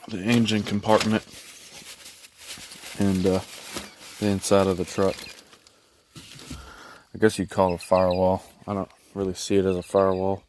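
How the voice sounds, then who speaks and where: Low-voiced man, casual and folksy. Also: a little mellow, slight background noise. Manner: laid-back, folksy, halting. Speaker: hobbyist vlogger showing his truck radio install. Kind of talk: casual unscripted how-to vlog. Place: in and around a pickup truck in a driveway.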